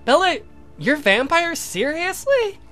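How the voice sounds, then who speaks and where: A very high, genteel lady voiced by a man in a gothic romance game. She sounds amazed and questions politely, with music playing behind her. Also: big pitch swings, takes it slowly, bright, forceful tone, full character voice. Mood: incredulous wonder. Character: astonished genteel young lady, the count's beloved.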